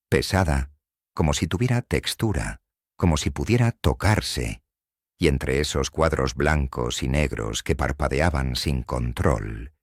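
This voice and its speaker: A man's voice: rumbling low, no echo, clean studio sound. A man with a Mexican accent narrating a scripted horror story in a grave, suspenseful manner.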